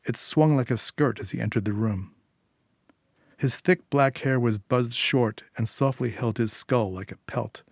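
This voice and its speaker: A man's voice: mid-range voice, measured pace, gravelly, dry recording, studio quality. A man reading a short story aloud as scripted narration. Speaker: story reader.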